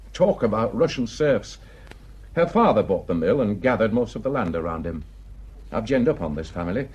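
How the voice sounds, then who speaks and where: A man's voice: mid-range voice, a little mellow, husky. An actor playing a gossipy, plain-spoken village man with a Northern English accent, telling indignant tales in an emphatic, colloquial way in a radio drama. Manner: garrulous, emphatic, colloquial storytelling.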